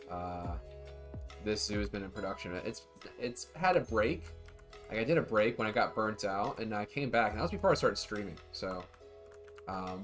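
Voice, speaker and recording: A relaxed man talks his way through a game stream with a slightly low voice, rambling and chatting loosely with his viewers. Faint music plays softly underneath. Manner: laid-back, rambling, talks to chat. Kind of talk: casual livestream chat.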